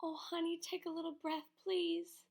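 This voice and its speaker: A woman's voice: sits high, moderate pace, pitched above the performer's own voice. A grieving boy with a drawl, as a woman voices him. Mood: pleading. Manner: pleading, then yelling in raw anguish. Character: grieving poor young boy, fierce and stubborn.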